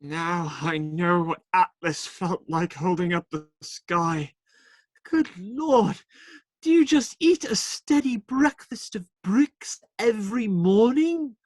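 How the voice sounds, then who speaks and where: A man's voice: high-pitched, slow, darkish tone, no echo. A man acting in a radio play as a cheeky, teasing outlaw given to playful, theatrical banter. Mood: strained, protesting.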